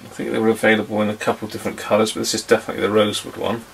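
Middle-aged male, deep and creaky, casual hobbyist demonstrating at a workbench, muttering unhurriedly. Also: monotone, very fast, slight background noise. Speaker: vintage radio hobbyist presenter. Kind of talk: casual hands-on demonstration commentary. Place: small indoor electronics workbench.

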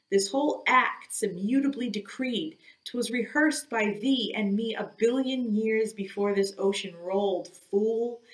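A woman's voice: medium pitch, lightly acted. A woman reading an audiobook, voicing an obsessed old male sea captain who declaims with grim, imperious force, in an echoey room.

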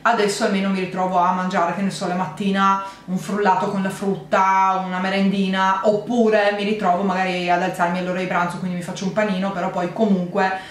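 A woman in her thirties chatting casually to camera as a vlogger, rambling and correcting herself in an animated way. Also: mid-range voice, brisk, few pauses. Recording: echoey room, faint noise.

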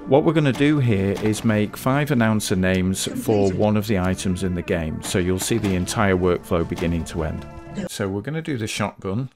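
Lower-pitched man giving a software tutorial. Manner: methodical, explanatory. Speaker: tutorial host, game developer. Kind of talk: unscripted step-by-step software tutorial.

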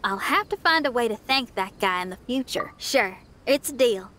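Bright, high-voiced spirited young rocker. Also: female voice, animated, normal speed, slight background noise. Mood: upbeat, friendly. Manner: casual, colloquial, warm enthusiasm. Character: upbeat young female rock musician, friendly and spirited. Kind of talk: video game character dialogue.

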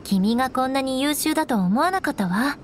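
A woman's voice: high-pitched, animated, a little fast, slight background noise. A young woman acting a proud, composed noblewoman knight in an anime game, strict but caring, with elegant, instructing delivery. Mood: cool, appraising. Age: young adult. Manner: poised, elegant, haughty, instructing.

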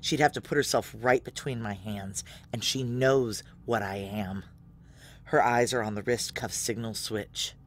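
A woman's voice: very deep voice, fairly even intonation, unhurried, a little hiss or hum. An actress playing a desperate, defiant security woman on the run in an audio drama, with an intense, raw delivery. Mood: taut narration. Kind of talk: audio drama acting with noir first-person narration.

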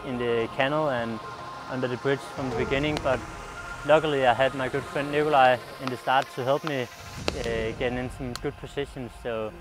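Young Danish male athlete, medium pitch. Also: fairly even intonation, moderate pace, low-quality recording. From Denmark. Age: young adult. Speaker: athlete being interviewed. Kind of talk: casual interview answer. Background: background music.

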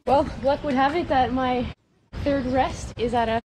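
A noisy outdoor action-camera recording carries the fairly high voice of a woman in her thirties. She chats in an upbeat, matter-of-fact way, like an athlete keeping a casual video diary. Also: measured pace, a little mellow. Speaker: endurance cyclist vlogging her training. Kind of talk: casual first-person vlog. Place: outdoors on a racetrack and roadside.

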